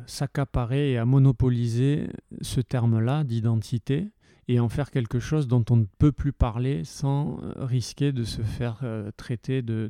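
Impassioned French-speaking man, very dark voice. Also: mid-range voice, no echo, clean studio sound. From Occitan-speaking region of France. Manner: impassioned, many hesitations and restarts. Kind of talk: passionate casual talk.

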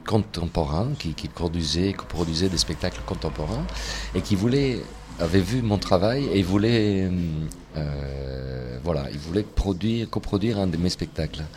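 A man with an Italian accent, an actor interviewed on radio, speaking thoughtfully with pauses. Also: sits low, lively intonation, background noise. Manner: thoughtful, pausing mid-sentence. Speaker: actor and playwright, guest.

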